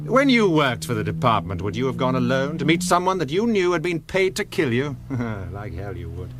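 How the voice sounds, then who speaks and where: An actor playing a pragmatic, self-assured intelligence officer in a radio thriller, brisk and matter-of-fact, with a British accent. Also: male voice, mid-range voice, sing-song, fairly bright tone. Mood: brisk, explanatory. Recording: radio drama with clear background noise.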